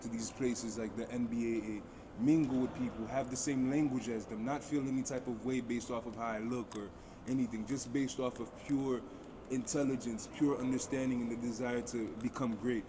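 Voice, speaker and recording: A man's voice: mid-range voice, fairly even intonation, normal speed. A middle-aged man from Haiti speaking as a panelist in an emphatic, motivational way, like a personal testimony. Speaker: aviation dispatcher, panelist. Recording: noisy busy venue, vehicle-like ambient noise. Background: loud ambient venue noise.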